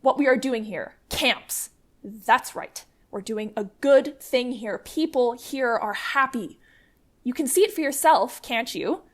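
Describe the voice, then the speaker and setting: Woman acting a high-voiced, fierce commune leader. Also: measured pace, close to an everyday voice. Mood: defiant. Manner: forceful, defiant, swearing. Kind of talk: radio play acting.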